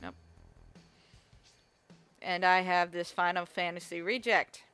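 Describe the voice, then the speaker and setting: A woman giving casual let's-play commentary, her voice raspy and strained, talking to herself and the viewers with quick asides. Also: on the low side, level tone, a bit bright. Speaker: gamer playing a game on video. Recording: faint game music behind.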